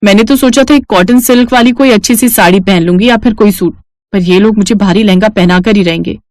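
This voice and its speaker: A woman's voice: mid-range voice, a little fast, darkish tone, dry recording, clean studio sound, lightly acted. A woman playing a reluctant, fretful young city daughter-in-law who complains, in a cartoon family story.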